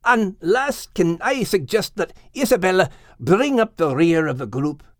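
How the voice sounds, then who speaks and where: A pitched-up male terrier, cheeky and wry. He makes joking suggestions in an animated audiobook voice, close and dry. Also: a little slow, no echo. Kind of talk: audiobook character acting.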